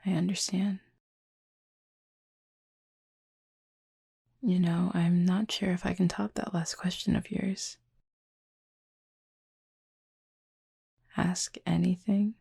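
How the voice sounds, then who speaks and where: A woman playing a thoughtful, soft-spoken cupid roommate in an intimate audio roleplay, with a breathy, husky voice and measured, gently formal delivery. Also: fairly low pitch, level tone, no room sound, studio quality, exaggerated voice. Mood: relaxed, playful.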